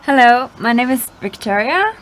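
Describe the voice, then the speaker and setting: A woman's voice: on the high side, lively intonation, moderate pace, fairly bright tone, slight background noise, poor audio. A young woman from Austria, an exchange student guest who speaks hesitantly. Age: young adult. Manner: hesitant, with many "like" and "um" fillers.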